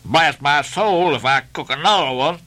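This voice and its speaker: A man's voice: on the high side, expressive, measured pace, pushed and bright, pitched above the performer's own voice. A man voicing a sullen ninety-year-old ship's cook in a novel, muttering and growling in grudging dialect. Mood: testy.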